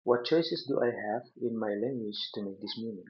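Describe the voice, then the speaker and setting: A man with an Indonesian accent giving an unscripted lecture over slides, halting often with fillers and restarts. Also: on the low side, slightly rough. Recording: dull lo-fi recording.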